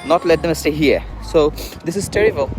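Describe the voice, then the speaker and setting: Halting Bangladeshi man, slightly high voice. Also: music in the background, rough recording. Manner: halting, earnest, repetitive phrasing. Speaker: vlogger and refugee activist. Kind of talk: live street vlog, informal. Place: outdoors at a busy roadside protest.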